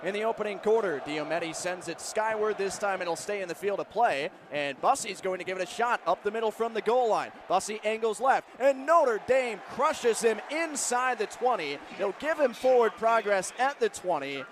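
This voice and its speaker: A male American play-by-play announcer pitched very high, calling football live on the radio. His delivery is dramatic, moving between measured detail and excited calls. Loud stadium noise fills in behind him. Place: stadium broadcast booth. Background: loud stadium ambience.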